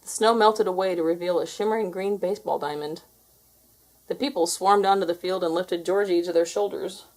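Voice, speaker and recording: A woman reading a children's story aloud as an expressive narrator. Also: lowish voice, fairly quick, rough recording. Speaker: storybook reader.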